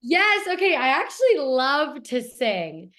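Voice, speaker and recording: Raspy young woman, kinda high voice, gushing about stuff she loves. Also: big pitch swings, a little slow, a bit bright. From Toronto, Canada. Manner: eager, gushing, repeats how much she loves things. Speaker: guest actor. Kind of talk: casual interview.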